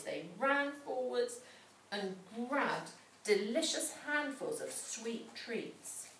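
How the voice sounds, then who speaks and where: Expressive woman storyteller, very animated pitch. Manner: dramatic, with pauses and questions to the child. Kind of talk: expressive storytelling read aloud to a child. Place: large indoor school room with hard floor. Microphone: distant camera microphone. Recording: echoey room, distant mic, background noise.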